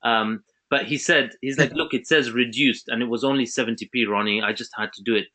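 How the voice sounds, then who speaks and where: Chatty man with a bright voice. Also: mid-range voice, fairly even intonation, a little fast. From Zambia. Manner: chatty, with many restarts and fillers. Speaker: webinar host. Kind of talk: casual online webinar talk.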